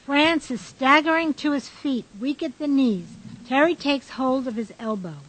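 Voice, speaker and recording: A woman with a high-pitched voice narrates a radio play. She reads out the stage directions as scripted narration. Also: normal speed, rough recording.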